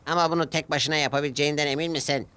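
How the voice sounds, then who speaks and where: Man voicing a measured, higher-pitched cartoon railway boss. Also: steady tone, bright, forceful tone, clean tone. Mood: kindly. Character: male railway controller, firm but kindly boss. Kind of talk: children's cartoon character dialogue.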